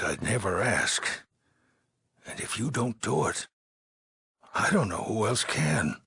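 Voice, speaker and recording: An actor playing a weary, anxious middle-aged male leader of an underground shelter in a video game, with a raspy, gravelly voice and halting, earnest delivery. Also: low-pitched, fairly bright tone, dry recording, clean studio sound, full character voice. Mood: worried, hesitant. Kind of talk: dramatic game dialogue acting.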